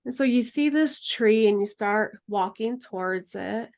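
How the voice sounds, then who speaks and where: A woman teaching an herbalism class in a relaxed, chatty way, then leading a guided meditation. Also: medium pitch, a little slow, gravelly. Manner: relaxed, chatty, laughs easily.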